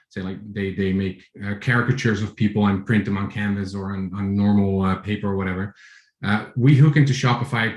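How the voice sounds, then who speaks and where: A man in his forties from the Netherlands with a Dutch accent, giving a relaxed tech talk over a video call, with many fillers and restarts. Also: lowish voice, roomy sound. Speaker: conference speaker. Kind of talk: online tech talk with screen share.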